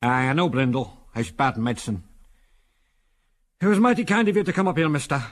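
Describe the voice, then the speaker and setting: A man's voice: medium pitch, very animated, a little fast, close to an everyday voice. A man voicing an old, plain-spoken Scottish prospector in an audio adventure story. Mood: mournful, resigned. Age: older. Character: old gruff Scots prospector, homely, plain-spoken, hospitable.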